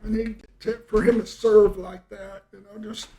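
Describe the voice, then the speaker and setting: A man's voice: high-pitched, level tone, moderate pace, dark tone, slight background noise. A middle-aged man in casual conversation, reminiscing and telling stories in a rambling way, often trailing off mid-thought. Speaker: podcast host and storyteller.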